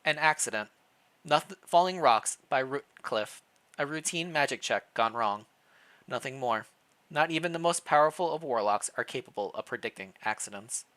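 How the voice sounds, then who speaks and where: A man reads as a royal chamberlain woman from a storybook, formal and secretive. The delivery is measured and calm, with a bright edge to the voice. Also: mid-range voice, lightly acted. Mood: guarded, flat. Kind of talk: storybook character reading.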